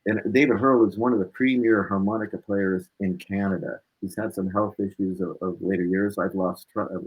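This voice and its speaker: A man with a husky voice, a musician being interviewed, talking casually and reflectively and repeating points for emphasis. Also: deep voice, fairly even intonation, fairly quick, phone-quality sound.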